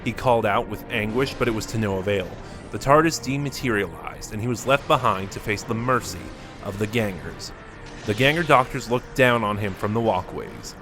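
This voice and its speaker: A man narrating a scripted story in a measured, steady reading. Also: lowish voice, over music.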